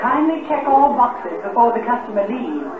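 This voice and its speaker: Officious, irritated store announcer, high voice on echoing, tinny public address, 1950s radio comedy. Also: male voice, very animated, moderate pace. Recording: echoey, distant, tinny, loud music behind.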